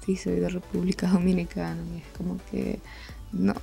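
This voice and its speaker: Gravelly-voiced young Venezuelan woman. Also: lowish voice, level tone, normal speed, warm tone. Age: 20s. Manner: informal, rambling, conversational. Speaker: art channel host. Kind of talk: casual chatty voice-over over drawing. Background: music.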